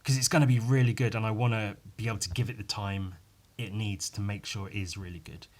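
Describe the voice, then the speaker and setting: Croaky, low-voiced man rambling casually. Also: fairly even intonation, fast talker, a little mellow. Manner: sweary, informal, self-deprecating, with tag questions. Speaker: podcast host and illustrator. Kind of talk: casual rambling solo chat.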